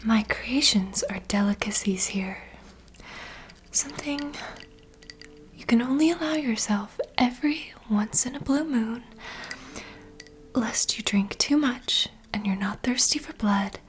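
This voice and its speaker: A woman playing a self-assured, doting vampire bartender in a whispered, intimate and unhurried ASMR roleplay. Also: on the high side, a little hiss or hum, lightly acted. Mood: knowing, doting.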